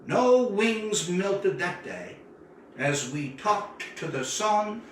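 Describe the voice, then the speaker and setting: Guy reading his short story out loud, really echoey and a bit far from the mic, dramatic measured delivery. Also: high-pitched, animated. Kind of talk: literary story reading, first-person narration. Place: indoor room, live stream. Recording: echoey room, distant mic, faint noise.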